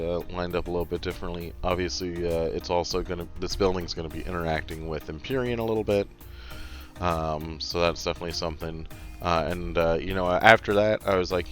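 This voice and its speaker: A man giving casual, halting commentary over footage of a building game, with frequent filler pauses. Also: sits low. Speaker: video creator narrating gameplay.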